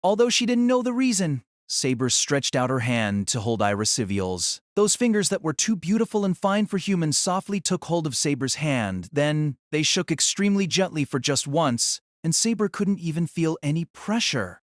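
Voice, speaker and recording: A man's voice: on the high side, big pitch swings, moderate pace, hardly any pauses, no room sound, studio quality. A man reading scripted story narration for an audio drama.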